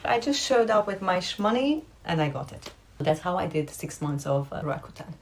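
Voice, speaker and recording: A woman's voice: fairly low pitch, very animated, moderate pace. A young woman from Romania, a content creator, talking candidly and directly to her viewers in a casual vlog.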